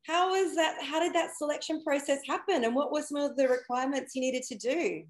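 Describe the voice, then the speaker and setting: A woman's voice: sits high, fairly quick. A woman hosting a video call interview, asking enthusiastic, encouraging questions. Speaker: interviewer, host. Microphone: webcam on video call. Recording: roomy video call audio.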